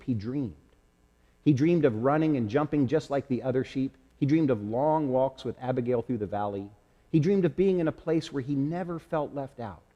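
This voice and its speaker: A man reads a children's story aloud with slow, dramatic phrasing. His voice is mellow and husky, and it rings with the long reverb of a hall. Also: medium pitch, dull audio.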